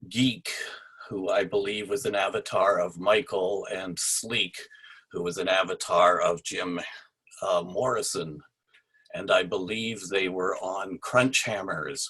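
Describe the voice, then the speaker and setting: A man's voice: sits low, steady tone, slow, bright, forceful tone, slightly rough, low-quality recording. A man speaking casually on a video call, telling an anecdote as a participant in a salon. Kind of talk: casual anecdote with a recited play excerpt.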